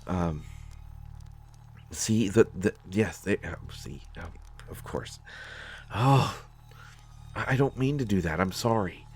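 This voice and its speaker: A man playing a nervous, awkward, well-meaning character in a sci-fi audio drama, speaking haltingly with many stammers. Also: low voice, animated, husky, full character voice. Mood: awkward, explaining. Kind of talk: solo scripted audio drama, conversational acting.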